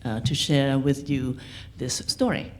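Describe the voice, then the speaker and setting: A woman's voice: very deep voice, measured pace, a little hiss or hum. A woman working as a consecutive interpreter at a live event, relaying a speaker phrase by phrase.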